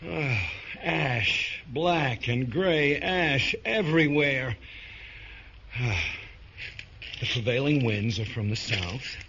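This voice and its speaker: An actor playing a desperate accused scientist in a science fiction radio drama, speaking with urgent, halting emotion. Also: male voice, medium pitch, breathy edge, full character voice. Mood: weary, searching. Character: desperate accused male scientist insisting on his innocence. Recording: dull radio play recording under loud music.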